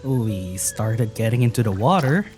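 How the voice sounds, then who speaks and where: A woman playing a weary, wry young traveller, recounting things in a halting, husky voice in an audio drama. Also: very deep voice, lively intonation, darkish tone, soft background music. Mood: subdued recounting.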